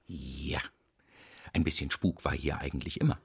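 A man's voice: rumbling low, normal speed, breathy edge, exaggerated voice. A man playing a frazzled, self-pitying cookie inventor, jittery and melodramatic, in a children's audio drama. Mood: politely nervous. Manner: melodramatic, jittery, repeats himself plaintively.

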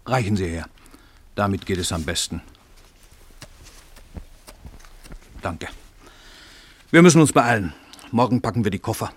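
An actor playing a pompous, cold-blooded famous crime writer in a radio play, declaiming in a grand, commanding way. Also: male voice, lowish voice, animated, normal speed, a little hiss or hum, natural voice. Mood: coolly businesslike. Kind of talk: German radio play acting.

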